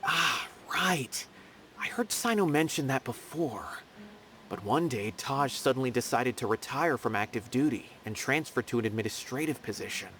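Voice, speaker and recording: A man's voice: medium pitch, animated, normal speed, a bit bright, a little hiss or hum, close to an everyday voice. A man playing an earnest officer of the law in a video game, with conversational delivery. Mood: recalling. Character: earnest young male desert law officer. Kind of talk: video game character acting.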